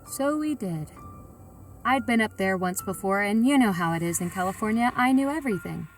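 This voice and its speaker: A woman's voice: mid-range voice, animated, moderate pace, distant mic, soft background music, close to an everyday voice. A woman playing a plain-spoken, reflective sightseer who tells her story in a colloquial, confiding way. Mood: musing, confiding. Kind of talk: first-person audio drama narration.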